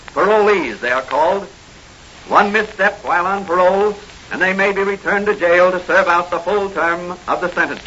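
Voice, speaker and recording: A man's voice: high voice, sharp tone, a little hiss or hum. A man narrating a 1940s radio serial as a grand, declamatory announcer. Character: dramatic announcer of a crime-fighter serial. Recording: dull vintage radio recording. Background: music.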